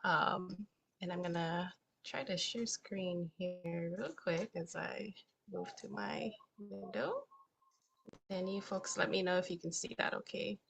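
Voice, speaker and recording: A woman's voice: on the low side, a little slow, creaky, noisy background. Chatty woman presenting on a video call. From Hawaii. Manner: chatty, with frequent ums and local Hawaiian words. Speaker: stewardship coordinator presenting a webinar. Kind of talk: casual online presentation. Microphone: computer mic on a video call.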